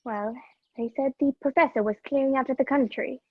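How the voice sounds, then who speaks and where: A woman acting a feisty, sharp-tongued young woman who reluctantly helps a fugitive, in a radio play over a video call. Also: high voice, steady tone, a little fast, no room sound. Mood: hesitant, confiding. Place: video call, dry room. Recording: muffled video-call audio.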